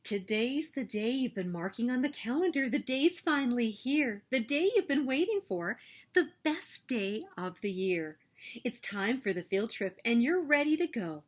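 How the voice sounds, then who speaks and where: A woman reading a children's picture book aloud as story host, with expressive, emphatic delivery. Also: on the high side, moderate pace. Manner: expressive, emphatic storytelling with sing-song refrains.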